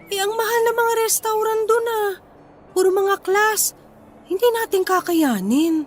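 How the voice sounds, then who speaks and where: A woman's voice: very high voice, unhurried. A woman playing a modest, practical young Filipina wife and mother in a radio drama, hesitant and questioning. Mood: doubtful, questioning.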